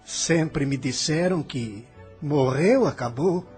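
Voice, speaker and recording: A man acts out a bewildered, bitter soul in an audio drama, with a Brazilian accent. His slightly raised voice moves slowly and theatrically through musing philosophy. Soft music plays behind him. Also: a little high. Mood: weary, doubtful.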